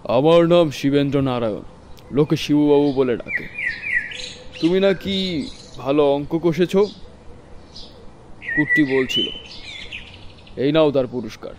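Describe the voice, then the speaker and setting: A man playing the ghost of a gruff but kindly old gentleman in an audio story, with old-fashioned, lordly speech. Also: on the high side, a little slow, pauses often, noisy background, natural voice. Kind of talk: Bengali audio story acting.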